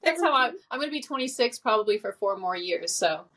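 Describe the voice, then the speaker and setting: A woman's voice: mid-range voice, lively intonation, a little fast, a bit bright, slight background noise. A woman in her twenties, a podcast guest, talking earnestly and reflectively in casual conversation. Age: 20s. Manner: reflective, earnest, many fillers.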